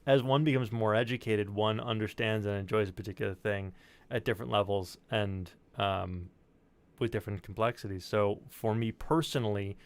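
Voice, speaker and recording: A man's voice: lowish voice, steady tone, normal speed, clear, resonant tone, no echo. A man hosting a casual chat show, talking in a wordy, joking way and swearing freely. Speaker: podcast and stream host.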